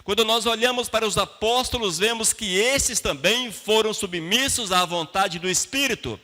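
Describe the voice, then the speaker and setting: A man's voice: high-pitched, normal speed, pushed and bright. A Brazilian man preaching a sermon to a congregation as a pastor, teaching in plain colloquial words with rhetorical questions and short emphatic phrases. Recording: long hall reverb.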